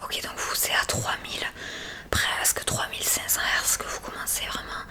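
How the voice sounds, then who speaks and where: A woman whispers breathily as an ASMR examiner, gently and attentively explaining a hearing test step by step to the listener. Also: moderate pace. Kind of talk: whispered ASMR medical roleplay, addressing the listener.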